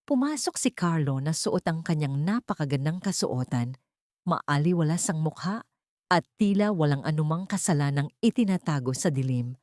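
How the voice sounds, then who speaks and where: Low-pitched woman narrating drama, dry sound. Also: expressive, moderate pace, a little mellow, dry recording. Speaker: story narrator. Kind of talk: dramatic scripted narration of a love story.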